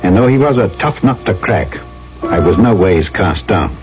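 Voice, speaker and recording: A man's voice: deep voice, animated, moderate pace. An actor playing a tough, plain-spoken island trader who narrates his own story in old-time radio drama style, folksy and self-assured. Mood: storytelling. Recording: muffled old radio recording with music behind.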